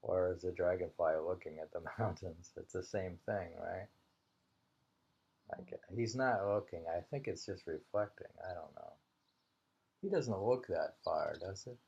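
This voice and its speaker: Slow, deep gravelly man reading haiku. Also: darkish tone. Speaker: reader of a haiku anthology. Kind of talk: slow poetry reading with casual commentary. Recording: dull lo-fi home recording.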